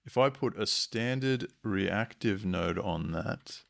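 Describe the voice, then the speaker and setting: Low-voiced man with a gravelly edge. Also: slow, a bit bright. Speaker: software tutorial presenter. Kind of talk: explanatory screencast tutorial narration.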